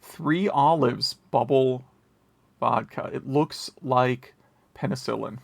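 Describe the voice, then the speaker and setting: A man's voice: medium pitch, slow pace, creaky, no echo. An American man co-hosting a podcast in casual chat, wry and opinionated. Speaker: podcast co-host.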